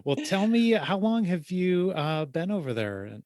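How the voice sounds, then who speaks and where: A man's voice: high voice, sing-song, a little slow, darkish tone, no room sound, studio quality. A man hosting a podcast, reading an ad and interviewing a guest in a friendly, encouraging way. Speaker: podcast host.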